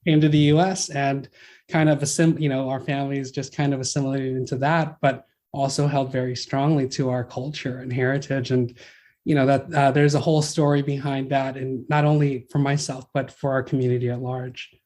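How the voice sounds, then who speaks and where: A man's voice: medium pitch, flat delivery, a little fast, darkish tone, slight background noise. A man born in the Philippines, a guest in an interview, speaking casually and thoughtfully, with many fillers and restarts. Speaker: guest, brand founder.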